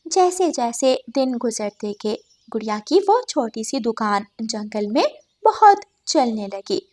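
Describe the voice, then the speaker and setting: A woman's voice: a little high, big pitch swings, slow, a little mellow. A woman reading scripted narration for a children's moral story as the storyteller.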